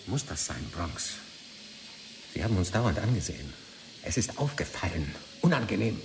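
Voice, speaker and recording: An actor playing an obsessive, tightly controlled middle-aged man in a radio drama, speaking in measured, literary phrases. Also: low voice, very animated, creaky, background noise, heavily acted. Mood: annoyed. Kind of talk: radio drama acting with first-person narration.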